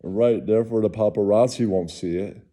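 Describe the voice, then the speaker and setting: A man hosting with casual, rambling political commentary, halting and repeating himself, sometimes crude. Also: on the low side, warm tone. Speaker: host.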